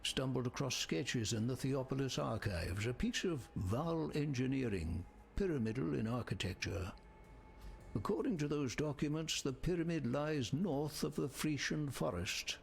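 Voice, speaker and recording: A man playing a learned scholar in a fantasy video game, measured and formal, with a gravelly voice. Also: mid-range voice, expressive, faint music behind. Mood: thoughtful, skeptical. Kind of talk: video game dialogue acting.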